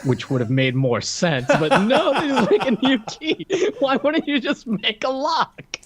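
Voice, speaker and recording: A man's voice: very high voice, sing-song, measured pace, a crowd in the background. A man co-hosting a podcast, reviewing a film in casual chat with a joking, mock-conspiratorial manner. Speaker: podcast co-host.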